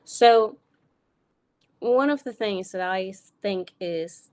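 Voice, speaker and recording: German-born woman with an American accent. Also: medium pitch. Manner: energetic, direct, casual with occasional swearing. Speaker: business coach. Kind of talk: solo live video talk.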